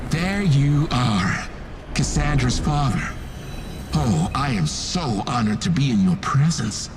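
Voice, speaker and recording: An eerie male cultist in a video game cutscene. He preaches slowly and reverently, his pitch swaying with fanatical devotion. Loud music plays behind him. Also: sing-song. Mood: awed, reverent. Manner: slow, reverent, preaching delivery. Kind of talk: cinematic video game acting.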